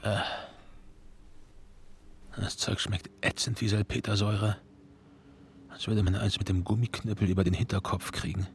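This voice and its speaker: An actor playing a weary, bitter party member in a radio drama, muttering halting, sardonic confessions to himself with a gravelly voice. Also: male voice, lowish voice, flat delivery, a little hiss or hum, natural voice. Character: weary, bitter male party member confiding forbidden thoughts. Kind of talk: intimate German radio drama monologue.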